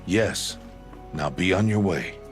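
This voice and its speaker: A man playing a stern, solemn older outcast hunter in a video game, speaking in a grave, measured, formal way. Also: sits low, lively intonation, over music. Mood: firm, guarded. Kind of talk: video game cutscene acting.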